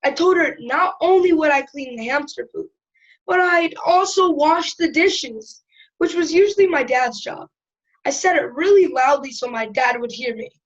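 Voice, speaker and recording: A woman's voice: high voice, animated, moderate pace, dull audio. A woman playing a cheeky, chatty schoolboy who tells his story in a wry, conversational way. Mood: chatty, amused. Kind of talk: first-person storytelling in audio drama.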